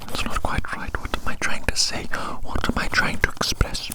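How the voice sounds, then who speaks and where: A man whispering very close to the mic in a rambling ASMR roleplay, repeating phrases and putting teasing questions to the listener. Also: moderate pace. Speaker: ASMR host. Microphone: very close ASMR microphone.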